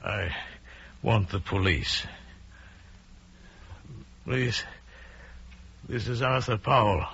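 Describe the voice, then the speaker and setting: A man's voice: on the low side, very slow, vocal fry, pauses often, fairly bright tone, breathy edge, heavily acted. An American actor giving a dramatic radio suspense performance as a storyteller and an anguished, scheming man in love. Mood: broken, resigned. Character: anguished scheming murderer, a lovestruck man telling his downfall. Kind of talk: 1950s radio suspense acting, dramatic narration. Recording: muffled vintage radio recording, music underneath.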